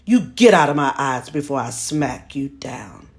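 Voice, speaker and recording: Woman doing a gruff threatening father. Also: extremely low pitch, expressive, unhurried, darkish tone, heavily acted.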